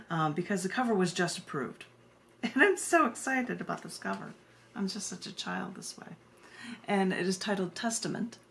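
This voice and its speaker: American woman poet doing a reading, deep voice w lots of fry, expressive, bit of noise in the background. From United States. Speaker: poet reading her own work. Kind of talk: informal introductions and expressive poetry reading.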